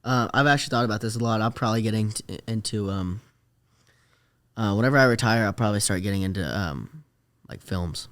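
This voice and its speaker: A young man chatting casually as a podcast guest, easygoing and trailing off softly at the ends of his thoughts. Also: fairly low pitch, a little fast, clear, resonant tone. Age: young adult. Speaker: podcast guest, rodeo rider. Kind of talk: casual interview chat.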